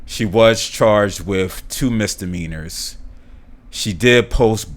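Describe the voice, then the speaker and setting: A man's voice: lowish voice, slow pace. A middle-aged man hosting a podcast alone, speaking earnestly, often repeating and restarting words and lifting his voice when he stresses a point. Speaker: podcast host. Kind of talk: solo commentary.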